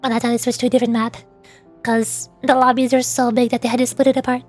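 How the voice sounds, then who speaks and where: A woman's voice: on the high side, little pitch movement, fast talker, soft background music. A woman streamer rambling casually, explaining things in a chatty way with playful asides.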